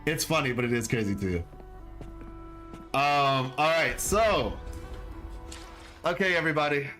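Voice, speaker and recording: A man's voice: on the high side, lively intonation, a little slow, sharp tone, faint music behind. Rambling laid-back male streamer. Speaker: game streamer. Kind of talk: casual live-stream chat.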